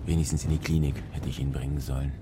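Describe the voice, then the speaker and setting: A man playing a worried, talkative father who drives a truck in a German radio play, speaking in an excitable, animated way over engine noise. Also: very deep voice, fast. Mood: regretful. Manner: animated, exclamatory. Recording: loud vehicle engine noise. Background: truck engine and driving sounds.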